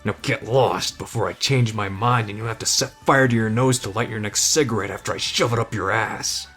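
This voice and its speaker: A man's voice: lowish voice, brisk, a bit bright, soft background music, close to an everyday voice. A man playing an easygoing, friendly but tough teenage delinquent in an anime roleplay, casual and slangy. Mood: cool threatening. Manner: casual, slangy, addresses listener as buddy. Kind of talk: anime fan ASMR roleplay.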